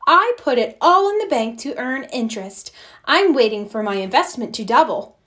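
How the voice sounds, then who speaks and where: A woman's voice: on the high side, big pitch swings, moderate pace, pushed and bright, pitched above the performer's own voice. A woman voicing a smug, money-minded little schoolgirl in a storybook, bragging matter-of-factly.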